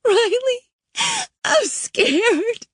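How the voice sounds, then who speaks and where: A woman's voice: fairly high pitch, a little slow, no echo, voice pushed up, close to an everyday voice. A woman voicing a frightened young girl who is crying and panicky, her voice breaking. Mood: terrified.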